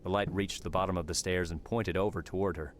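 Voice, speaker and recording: Low low guy narrating a story book, some noise behind him. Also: steady tone, fast talker. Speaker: audiobook narrator. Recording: clearly noisy background.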